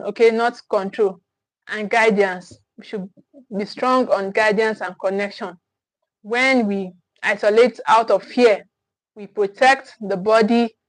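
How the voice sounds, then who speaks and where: Host: Nigerian woman teaching parents, emphatic and repetitive, higher voice, close and dry sound. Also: no room sound, poor audio. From Nigeria, Edo State. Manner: earnest and emphatic, repeats points, asks "okay?". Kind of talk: live-streamed parenting class.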